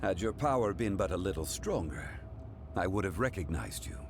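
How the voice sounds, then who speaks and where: An actor playing a composed, dignified immortal god in human form in a video game, with formal delivery. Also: male voice, low voice, expressive, moderate pace, noisy background. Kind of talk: dramatic video game voice acting. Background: game music.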